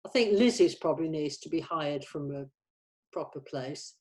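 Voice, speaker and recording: A middle-aged woman chatting casually as a producer in a planning meeting, agreeable and a little halting. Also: low-pitched, a little mellow.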